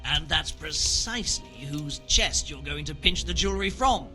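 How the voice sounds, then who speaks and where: A man's voice: fairly high pitch, lively intonation, moderate pace, pushed and bright, faint music behind. A man playing a sly, greedy townsman who commissions thefts in a video game, talking in a knowing, theatrical, wheedling way. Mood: scheming. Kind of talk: dramatic video game dialogue.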